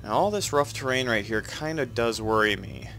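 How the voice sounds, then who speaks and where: A man's voice: medium pitch, expressive, moderate pace, a bit bright, a little hiss or hum. Nasal Canadian man with a cold. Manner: chatty, explains his choices as he plays. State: head cold. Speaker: let's play host. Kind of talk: casual gameplay commentary.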